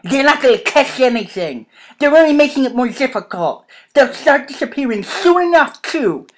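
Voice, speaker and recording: Woman acting a loud, defiant teenage boy. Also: sits high, sing-song, moderate pace, voice pushed up. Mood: defiant, dismissive. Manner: harsh, sarcastic, growling retorts. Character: angry, bitter, defiant teenage werewolf boy.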